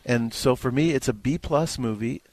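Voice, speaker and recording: A man's voice: mid-range voice, normal speed, darkish tone, no room sound. A man giving a conversational movie review as a critic, informal and emphatic in his delivery. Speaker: film critic.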